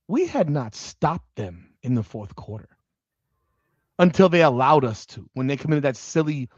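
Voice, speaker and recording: A man's voice: on the high side, dry recording. Fired-up forty-something man, dull dry sound. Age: 40s. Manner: animated, swears freely, rambling fan talk. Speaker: podcast host. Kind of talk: casual sports podcast chat.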